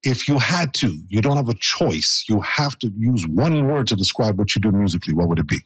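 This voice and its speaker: A man's voice: on the low side, animated, a little fast, husky, no room sound, low-quality recording. A man hosting a broadcast interview, joking and teasing his guests and laughing often. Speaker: host.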